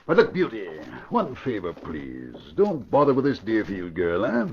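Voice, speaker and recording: An actor playing a wary, exasperated gangster's lawyer in an old radio crime drama, cautioning in a gravelly, husky voice. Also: male voice, on the low side, huge pitch swings, normal speed, a little hiss or hum. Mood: worried pleading. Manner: cautioning, pleading. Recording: muffled old radio recording.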